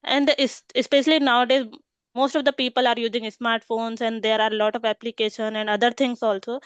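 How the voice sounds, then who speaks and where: A woman, a learner answering in a practice conversation, pausing often with "uh". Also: fairly high pitch, flat delivery, clean tone, dry recording. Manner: hesitant, many "uh" pauses. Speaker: English learner. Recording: dull lo-fi call audio.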